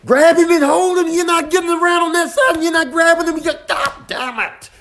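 A man's voice: extremely high pitch, brisk, pitched above the performer's own voice. A man voicing an exasperated football coach in a comedy dub, barking repeated orders with frustrated swearing. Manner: repetitive, frustrated yelling with mild swearing.

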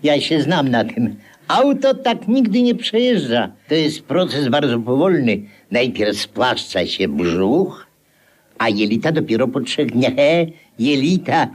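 An actor playing a contrarian, pedantic, dismissive know-it-all in a grotesque 1950s Polish radio comedy. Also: male voice, mid-range voice, very animated, slow. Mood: pompous. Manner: dismissive, pompous.

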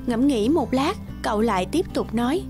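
Female narrator, high register, scripted children's fairy tale read over a music bed. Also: lively intonation, moderate pace.

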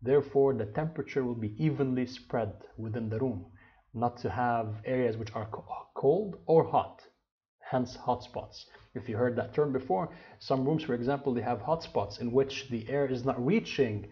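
Man, 30s, instructor, lecture over slides, steady mid pitch, slightly muffled recording. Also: normal speed. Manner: explanatory, with frequent restarts and fillers. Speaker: HVAC instructor.

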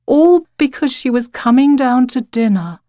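A woman with a British accent giving an expressive, reflective dramatic reading of literary fiction as a narrator. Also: sits high, measured pace, no echo, studio quality.

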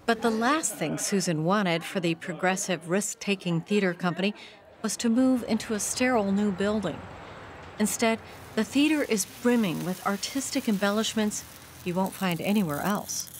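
A woman giving a measured, scripted documentary narration. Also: medium pitch, slight background noise. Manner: smooth, measured.

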